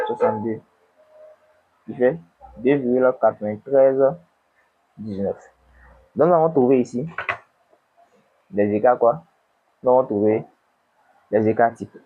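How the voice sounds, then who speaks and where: A man with a cold, tutoring an econometrics exercise and dictating numbers slowly while asking himself questions. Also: mid-range voice, breathy edge, phone-quality sound. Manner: slow, dictating numbers, asks and answers himself. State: has a cold. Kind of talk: screen-recorded worked exercise.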